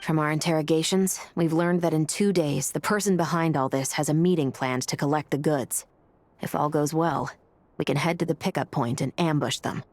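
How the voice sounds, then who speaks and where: A woman's voice: low voice, fairly even intonation, moderate pace, darkish tone. A woman playing a stern, dutiful patrol officer in a video game, firm and businesslike. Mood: matter-of-fact. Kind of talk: video game character acting.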